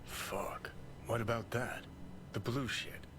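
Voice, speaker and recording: Cynical, gruff rebel man in a game, acted. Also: medium pitch, fairly even intonation, slow, background noise, close to an everyday voice. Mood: sardonic. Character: cynical, foul-mouthed male rebel companion. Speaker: game character, companion. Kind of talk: video game voice acting.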